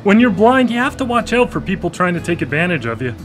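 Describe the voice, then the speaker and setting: A man's voice: high voice, sing-song, fast, fairly bright tone, faint music behind. A man in a live radio drama playing a recently blind former engineer, hesitant and stammering with a wry, self-deprecating humor. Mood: awkward, wry. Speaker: lead character in a radio drama. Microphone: studio microphone.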